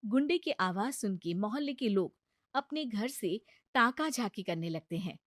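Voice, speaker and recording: A woman's voice: medium pitch, expressive, unhurried, no room sound, studio quality. A woman narrating a scripted cartoon story as its storyteller. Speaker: narrator and voice performer.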